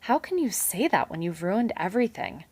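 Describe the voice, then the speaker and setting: A woman's voice: mid-range voice, very animated, moderate pace, darkish tone, husky, pitched above the performer's own voice. A woman voicing a young male mouse, held captive, speaking sullenly in a read-aloud storybook.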